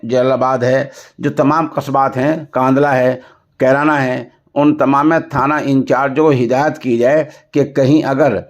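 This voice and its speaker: A man presenting news and commentary in a formal, earnest, deliberate manner. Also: medium pitch. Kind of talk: news report with commentary, formal Urdu register. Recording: dull, lo-fi voice recording.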